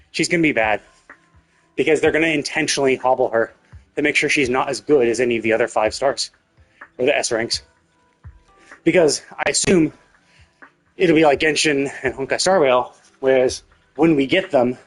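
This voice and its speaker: A man giving casual livestream commentary on games, explaining in a rambling way and often rephrasing himself. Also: on the high side. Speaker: video game livestreamer.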